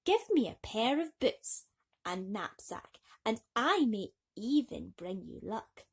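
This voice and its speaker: A woman voicing a sly, courteous and bossy male cat servant in a storybook, smooth-talking and commanding. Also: on the high side, big pitch swings, slow pace, heavily acted. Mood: sly, confident.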